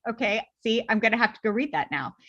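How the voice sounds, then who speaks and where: Woman, online panel moderator, chatty and upbeat, bright tone, dull lo-fi sound. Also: a little high, steady tone, fast talker. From United States. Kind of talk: hosting a video panel. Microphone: video call. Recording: slightly dull lo-fi audio.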